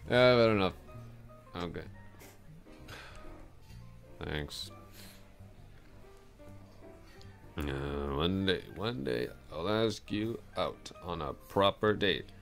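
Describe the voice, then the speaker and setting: Casting: male actor as tipsy, smitten male coworker for ASMR roleplay; extremely low voice, slow halting rambles, bashful and self-deprecating. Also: animated, creaky, a bit bright, faint music behind, heavily acted. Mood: apologetic, woozy. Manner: rambling, halting, repeating himself. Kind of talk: intimate ASMR roleplay acting.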